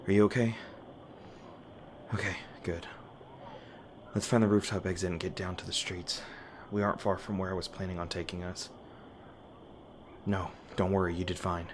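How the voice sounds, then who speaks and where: A man playing a weary, guarded monster hunter in an audio roleplay, gruff but caring, with halting, sardonic, sweary speech. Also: low voice, little pitch movement, lightly acted. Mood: reassuring, tired. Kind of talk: audio roleplay, one-sided dialogue to the listener. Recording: noisy ambient sound effects behind voice. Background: ambient sound effects.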